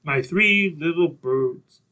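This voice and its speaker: A man playing a mischievous, flattering older professor with a husky voice in an old-time radio comedy. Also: a little high, slow.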